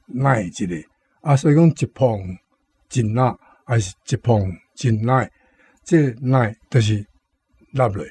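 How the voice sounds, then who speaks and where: A man talks through explanations on a radio programme. His voice is mellow and a bit rough, recorded dry, and he repeats points as he goes. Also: mid-range voice, no echo. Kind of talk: explanatory talk on words.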